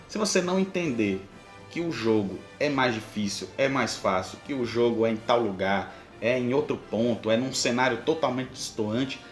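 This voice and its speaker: A man's voice: medium pitch, roomy sound. A man with a Brazilian accent hosting a podcast, explaining tips informally and speaking straight to the listener. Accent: Brazilian Portuguese. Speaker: podcast host. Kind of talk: conversational advice with examples.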